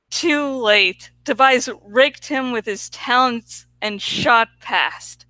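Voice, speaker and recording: A woman reading a first-person story aloud as an expressive audiobook narrator. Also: high-pitched, no room sound. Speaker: audiobook reader.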